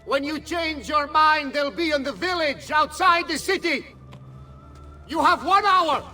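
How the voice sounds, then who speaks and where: An actor playing a greedy, taunting mercenary warlord in a video game, drawing out his threats slowly. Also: very high voice, pushed and bright, faint music behind, heavily acted. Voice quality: slow, taunting. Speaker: villain. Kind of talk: video game cutscene acting.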